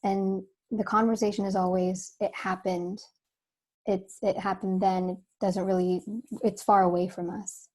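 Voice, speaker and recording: Slightly hoarse young woman, earnest. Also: mid-range voice, flat delivery, a little slow, darkish tone. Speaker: student board member. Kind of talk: spoken remarks at a board meeting.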